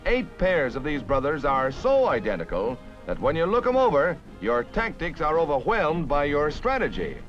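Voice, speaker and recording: Animated 1950s American newsreel announcer, male. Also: on the high side, normal speed, hardly any pauses. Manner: punchy, dramatic announcer delivery. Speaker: newsreel narrator. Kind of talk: 1950s newsreel scripted narration. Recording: dull vintage newsreel soundtrack with music.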